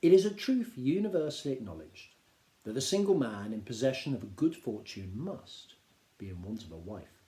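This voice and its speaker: A man reading a classic novel aloud as an expressive literary narrator. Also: medium pitch, moderate pace. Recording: roomy, echoing indoor sound.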